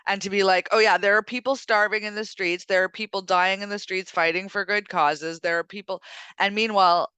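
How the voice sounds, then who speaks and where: Bright, clear-voiced sardonic woman. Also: mid-range voice, steady tone, a little fast, no room sound. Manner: sardonic, emphatic, slangy. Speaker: co-host. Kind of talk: casual opinionated current-affairs chat.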